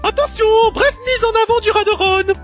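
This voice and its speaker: A performer voicing a shrill, exuberant, over-the-top character in a comic parody dub. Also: fairly quick. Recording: loud music under the voice.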